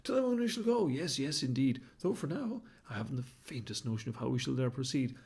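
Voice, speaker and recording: A man's voice: mid-range voice, sing-song, fast talker, gravelly, darkish tone, husky, heavily acted. A man voicing a flamboyant, fashion-mad male baboon who talks in pompous, theatrical rhymes. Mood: flustered. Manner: pompous, sing-song rhyming, fussy and dramatic. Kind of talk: storybook character voice.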